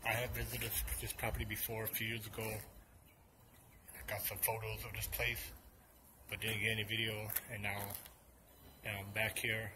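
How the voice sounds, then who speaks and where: A man's voice: fairly low pitch, monotone, normal speed, noisy background. A man vlogging as he walks through outdoor hotel ruins, talking in a loose, rambling way and correcting himself as he goes. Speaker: urban explorer vlogger. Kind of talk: casual walking narration.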